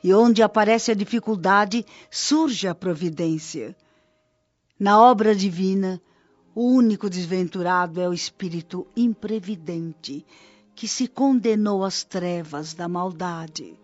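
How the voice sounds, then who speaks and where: A muffled recording with music underneath carries an actress playing an old Brazilian spirit nurse in a radio drama. She is kindly and wise, teaching in a gentle, measured, reassuring way. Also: medium pitch, no echo, close to an everyday voice. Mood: wise, serene. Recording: dull lo-fi audio with music bed. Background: background music.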